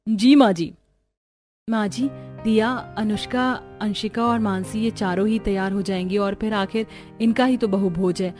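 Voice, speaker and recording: A woman's voice: mid-range voice, steady tone, normal speed, darkish tone, faint music behind, lightly acted. A woman voicing a humble, grateful young daughter-in-law in a family cartoon, earnest and deferential. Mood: meek.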